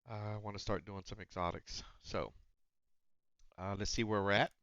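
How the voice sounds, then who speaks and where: A man's voice: fairly low pitch, steady tone, normal speed, a bit bright, dry recording, muffled recording. A man giving casual gameplay commentary on a headset mic, slightly nasal, rambling with fillers and restarts. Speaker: gaming channel host. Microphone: noise-cancelling headset.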